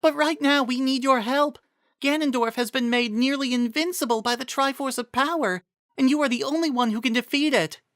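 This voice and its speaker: Man voicing an earnest high-pitched young heroine. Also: fairly quick, a bit bright, no room sound, studio quality. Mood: urgent, pleading. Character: earnest, weary young heroine of a fantasy quest. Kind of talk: dramatic fantasy storybook reading.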